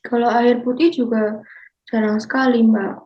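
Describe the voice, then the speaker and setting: A woman's voice: fairly high pitch, steady tone, close to an everyday voice. A woman playing a 65-year-old grandmother as a counseling client, speaking politely and deferentially. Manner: slow, polite, deferential, calls the counselor Mbak. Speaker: counseling client in a class role-play. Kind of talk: scripted role-play consultation. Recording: muffled lo-fi recording.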